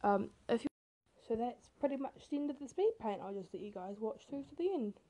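A teenage girl with a rough, hoarse voice, casually narrating her own speed painting in a chatty, self-deprecating way. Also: on the high side, lively intonation, a little fast, warm tone. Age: teens. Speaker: art vlogger. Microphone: camera mic.

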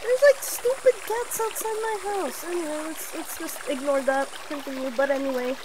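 A woman's voice: high-pitched, normal speed, noisy background. A woman streamer giving casual, sarcastic commentary as she plays a game, reacting in asides. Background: game music.

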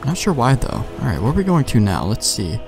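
A man's voice: mid-range voice, wildly swooping pitch, a little fast, gravelly, darkish tone, soft background music. A man giving casual, sweary let's play commentary, thinking aloud in a laid-back way. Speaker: gamer, let's play host.

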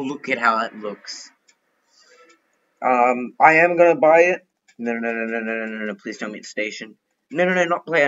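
A young man giving chatty, excitable commentary while playing a game, thinking out loud as he goes. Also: a little high, lo-fi sound, a little hiss or hum. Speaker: gaming youtuber. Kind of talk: casual gameplay commentary.

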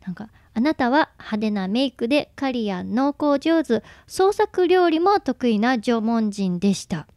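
A woman co-hosting a radio show, chatting and interviewing a guest in a lively way. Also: fairly high pitch, measured pace, few pauses, dry recording, studio quality. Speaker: radio co-host.

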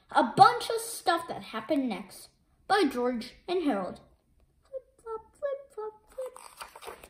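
A woman's voice: fairly high pitch, fairly bright tone, slight background noise, poor audio. A woman reading a children's comic book aloud expressively, voicing the sound effects herself. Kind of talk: animated picture-book read-aloud.

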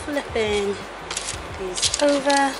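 A woman's voice: sits high, drawn-out words, poor audio. A young woman casually narrating her cooking in a home kitchen, chatty and informal, with playful asides. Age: young adult. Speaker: cooking vlogger. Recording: music and frying sizzle behind voice. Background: music, sizzling food, stirring.

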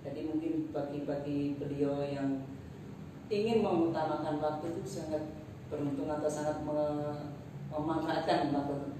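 A woman's voice: low-pitched, steady tone, a little fast, far from the mic. Chatty woman interviewer in echoey lobby. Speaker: library staff interviewer. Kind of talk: casual interview. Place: seated in a library lobby, indoors. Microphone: far camera. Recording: echoey room with room noise.

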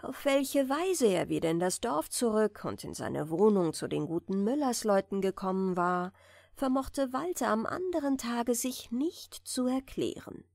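A woman narrating a fairy tale for bedtime, reading gently and soothingly, at times almost whispering. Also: fairly high pitch, animated, a little slow, vocal fry, no echo, studio quality. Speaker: audiobook narrator.

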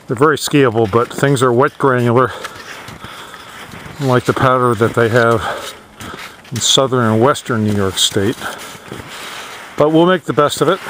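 A man's voice: medium pitch, a little slow, pauses often. Guy vlogging his ski trip outside, easygoing and chatty, lots of wind hitting the camera mic. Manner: relaxed, chatty, admiring the scenery. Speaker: cross-country skier filming his outing. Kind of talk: casual outdoor vlog narration. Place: snowy forest and mountaintop outdoors. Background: wind and flowing water.

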